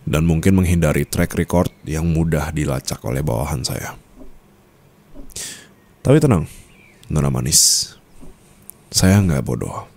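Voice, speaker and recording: Casting: male actor as a cool, teasing mafia boss husband for ASMR roleplay, very low dark voice, measured formal delivery. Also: animated, gravelly, full character voice. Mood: calm, reassuring. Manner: measured, formal, coaxing with short pauses. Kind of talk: ASMR romantic roleplay acting.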